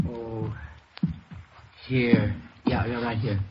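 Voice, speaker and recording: An actor playing a nervous, evasive husband under suspicion in a 1950s radio drama, stammering and repeating himself. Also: male voice, medium pitch, flat delivery, very slow. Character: nervous husband, murder suspect, evasive and increasingly frantic. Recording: dull noisy vintage radio recording.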